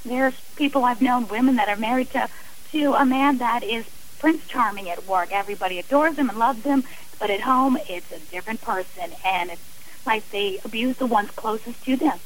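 A woman's voice: fairly high pitch, normal speed, slight background noise, low-quality recording. A woman speaking as an author and guest on a radio interview, earnest and emphatic, often repeating phrases for stress.

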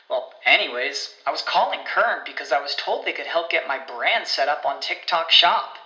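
A woman's voice: low voice, bright, forceful tone, echoey room, low-quality recording. A woman reading a scripted ad as a chatty voicemail caller. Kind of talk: scripted ad read.

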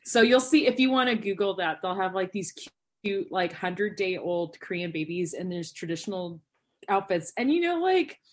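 A chatty woman on a casual podcast, her voice sitting in a middle range. She talks her way through anecdotes, breaks into laughter easily, and slips into quick impressions of other people. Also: mid-range voice, lively intonation. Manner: chatty, laughs often, acts out quotes. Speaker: podcast guest, teacher.

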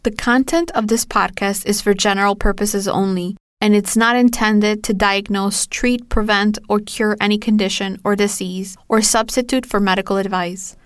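A woman's voice: medium pitch, fairly even intonation, moderate pace, few pauses, smooth, dry recording, clean studio sound. A woman hosting a podcast, delivering a punchy, irreverent intro with casual swearing, then a disclaimer. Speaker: podcast host. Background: music.

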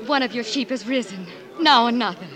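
An actress playing a devoted, reassuring wife with tender, earnest theatrical delivery in a 1940s radio drama. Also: female voice, a little high, brisk, close to an everyday voice. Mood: urgent. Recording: old radio broadcast, crowd and sheep behind.

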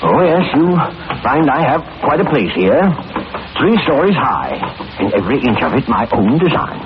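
A man's voice: medium pitch, sing-song, normal speed, natural voice. Man acting a swooping, fussy, sinister genial employer, old radio. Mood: oily, sinister. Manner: dithering, repeats himself, unctuous chuckles. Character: fussy, falsely genial middle-aged male employer with sinister intent. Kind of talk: 1940s radio horror acting. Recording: noisy, muffled old radio recording.

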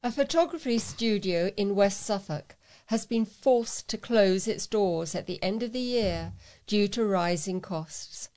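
A woman's voice: mid-range voice, unhurried, darkish tone. A woman reading local news aloud as a volunteer newsreader, with a careful delivery.

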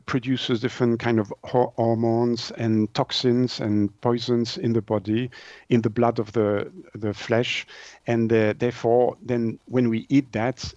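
A man's voice: fairly low pitch, a little mellow, no room sound, dull audio. A man from Switzerland, a native French speaker with a Swiss French accent, explaining things as an interview guest and often searching for words.

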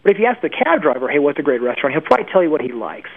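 Man, fairly high pitch, narrow telephone sound, conversational expert guest, opinionated, repeats for emphasis. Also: big pitch swings, racing, breathy edge. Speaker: interview guest, internet marketing expert. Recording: narrow phone-like band, lo-fi internet radio.

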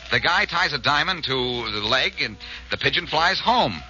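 An American man playing a cocky, quick-witted amateur sleuth with breezy wisecracks in a 1940s radio drama. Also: medium pitch, lively intonation, pushed and bright, soft background music. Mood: confident. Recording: muffled old radio recording.

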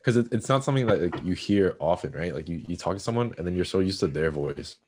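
A man's voice: low-pitched, lively intonation, quick pace, darkish tone, slight background noise. A young man chatting casually on a podcast, hesitant, repeating words and saying "like" often. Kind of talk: casual chat.

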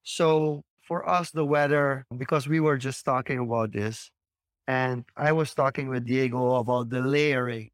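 A man's voice: mid-range voice, unhurried, clear, resonant tone, dry recording. A man from Caribbean America, hosting a casual chat and explaining things in short, broken phrases. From Caribbean Latin America. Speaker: podcast host.

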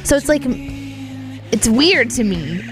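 A woman co-hosting a casual music review chat, thoughtful and soft-spoken, hedging her points. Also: high voice, expressive, takes it slowly. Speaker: podcast co-host. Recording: music playing in background.

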